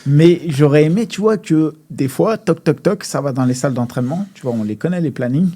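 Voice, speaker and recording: Emphatic man with a soft, husky voice. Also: fairly high pitch, a little fast. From France. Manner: animated, emphatic, many restarts and fillers. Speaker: MMA fighter guest. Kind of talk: casual podcast discussion.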